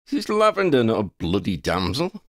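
A man's voice: on the low side, huge pitch swings, a little fast, no echo, studio quality, pitched above the performer's own voice, heavily acted. A man voicing a wry, cheeky, easily exasperated young sidekick with an incredulous manner in an audiobook. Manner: incredulous, wisecracking. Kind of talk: audiobook character voice.

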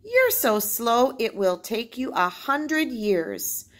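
A woman's voice: fairly high pitch, sing-song, takes it slowly, voice pushed up. A woman voicing a boastful, mocking male jungle rodent in a storybook, bragging and exclaiming. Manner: bragging, laughing scornfully, exclamatory.

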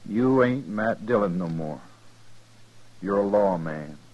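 A bitter hired gunman in a vintage radio western. The voice sits low, and the words come sparse and cold, with flat menace. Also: slow, muffled recording. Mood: menacing. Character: bitter, cold hired gunman, man who hates people. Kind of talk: 1950s radio western acting.

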